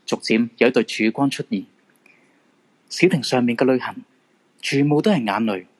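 Husky male storyteller, Hong Kong Cantonese. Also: mid-range voice, normal speed. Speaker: narrator. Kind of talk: dramatic scripted storytelling.

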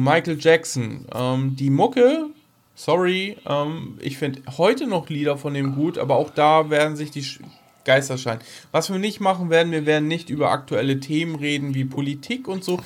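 Rambling man, slightly high voice. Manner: colloquial, rambling. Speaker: podcast co-host. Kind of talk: casual chat about science fiction.

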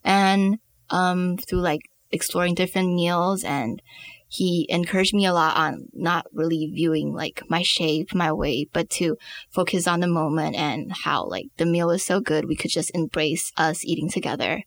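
Young Taiwanese woman, lowish voice, reflective storyteller. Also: normal speed. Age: young adult. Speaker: interview guest. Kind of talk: personal storytelling in an interview. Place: studio.

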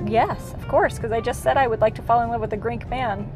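A woman's voice: fairly high pitch, fairly even intonation, a little fast, over music. Joking, playfully dramatic woman. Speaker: podcast co-host. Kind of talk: casual chat.

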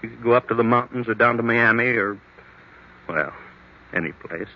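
Through a narrow, tinny old radio recording comes a bewildered husband played by a man. His mid-pitched voice is slightly rough and hesitant. He sounds anxious and apologetic, in vintage mystery drama style. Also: normal speed, close to an everyday voice. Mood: weary, apologetic. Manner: hesitant, faltering, repeats himself.

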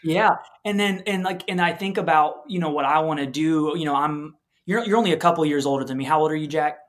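Upbeat man in his thirties, somewhat high voice. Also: fairly quick, a bit bright. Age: 30s. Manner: upbeat, casual. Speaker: podcast host. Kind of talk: casual interview chat.

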